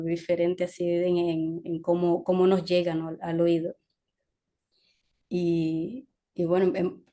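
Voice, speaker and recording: A woman novelist from Venezuela talking through her ideas in a relaxed discussion, full of restarts and tag questions. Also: on the low side. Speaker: novelist guest. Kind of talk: conversational discussion.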